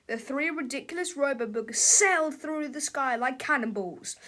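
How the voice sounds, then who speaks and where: A woman's voice: high voice, normal speed, bright, forceful tone. A woman reading a children's storybook aloud as narrator, in a dramatic, theatrical manner.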